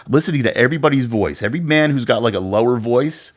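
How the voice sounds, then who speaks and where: A man in his forties with a deep voice, co-hosting a podcast and chatting casually from his home setup, rambling a little. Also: expressive, fast. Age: 40s. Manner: chatty, rambling, self-deprecating about sound gear. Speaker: podcast co-host. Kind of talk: casual chat. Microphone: studio dynamic mic at home.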